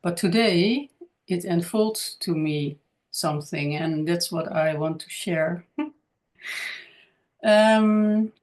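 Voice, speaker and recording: A woman's voice: fairly low pitch, slow, pauses often, darkish tone. A woman with a Dutch accent, a native Dutch speaker, talking to camera in a casual spiritual monologue, hesitant and searching for words. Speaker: content creator.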